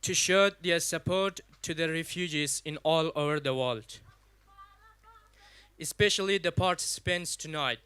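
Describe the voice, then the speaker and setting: A young man with an Afghan accent giving a personal speech at a community event, halting and deliberate, in short non-native English phrases. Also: fairly high pitch, pushed and bright, clear, resonant tone, no room sound. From Afghanistan, raised partly in Pakistan. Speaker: guest speaker at a community event. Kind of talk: public speech, personal testimony.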